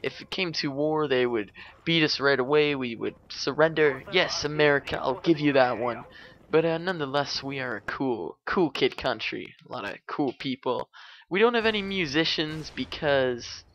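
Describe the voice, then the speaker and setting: A man's voice: medium pitch, moderate pace, a bit bright, highs cut off. A young adult man from Canada giving casual, rambling commentary over gameplay, chatty and full of fillers and restarts. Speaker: gaming commentator.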